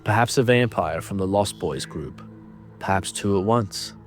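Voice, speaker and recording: A low-pitched man delivers scripted horror narration. His manner is grave and deliberate, shaping ornate prose dramatically, with faint music underneath. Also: animated.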